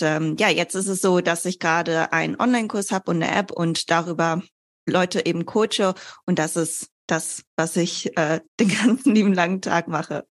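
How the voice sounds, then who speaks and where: A woman in her twenties, a fitness coach, explaining things conversationally as an interview guest. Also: on the low side. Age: 20s.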